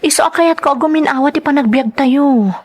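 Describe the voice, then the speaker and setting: A woman's voice: sits high, a little fast, lightly acted. A woman with an Ilocano accent playing an earnest, pleading wife and mother in a radio drama, with emotional, imploring delivery.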